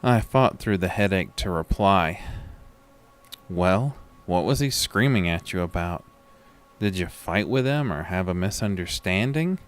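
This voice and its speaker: An actor playing a sick, groggy man who tells his own horror story in a weary, measured way. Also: sits low, animated. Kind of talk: first-person scripted horror narration with acted dialogue.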